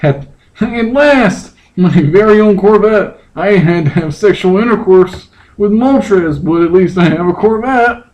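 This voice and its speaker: A man's voice: sits high, animated, unhurried, a little mellow, voice pushed up, poor audio. A man playing a reluctant young male hero in a stilted, hammy comic story reading. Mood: triumphant, resigned. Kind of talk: mock dramatic reading.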